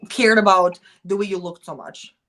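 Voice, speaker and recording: A woman's voice: mid-range voice, moderate pace, fairly bright tone, a little hiss or hum. A woman from the United States, a guest in a casual podcast chat, talking candidly in a rambling way full of "like". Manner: rambling and candid, lots of "like" and restarts.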